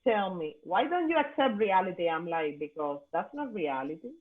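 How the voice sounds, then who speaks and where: A woman's voice: lowish voice, animated, moderate pace. A woman from Cuba with a Cuban Spanish accent, a native Spanish speaker and podcast host, talking in emphatic, slightly broken English over a remote call. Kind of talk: casual conversation. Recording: tinny phone-like remote call audio.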